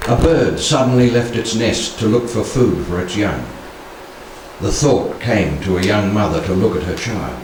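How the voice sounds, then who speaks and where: A man in his sixties with a fairly low voice reads verse aloud in a solemn, measured way. He leaves dramatic pauses between phrases. The sound is heavily reverberant and distant, with a faint layer of noise. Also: expressive. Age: 60s. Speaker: poetry reader. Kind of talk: solemn poetry recitation. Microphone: handheld stage microphone.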